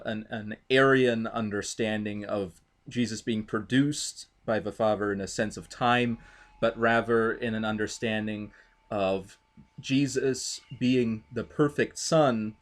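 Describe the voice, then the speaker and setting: A man explaining theology thoughtfully in conversation, deliberate and halting, with formal wording. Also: mid-range voice. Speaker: interviewer.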